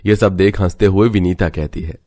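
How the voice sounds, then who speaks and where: A man's voice: sits low, steady tone, a little fast, dark tone, no echo. A man reading scripted narration as a story narrator.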